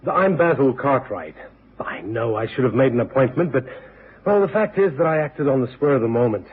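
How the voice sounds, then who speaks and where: A man's voice: medium pitch, animated, fairly quick, husky. A man playing a businesslike, anxious concert manager with a polite, hesitant manner in a radio drama. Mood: apologetic. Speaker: supporting actor. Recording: tinny old radio recording.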